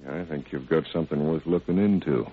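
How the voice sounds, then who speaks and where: An actor playing a brisk, sceptical deputy with short, blunt remarks in an old radio crime drama. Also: male voice, a bass voice, slightly rough. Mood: sceptical, businesslike. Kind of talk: 1940s-50s radio crime drama acting. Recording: tinny band-limited old radio recording.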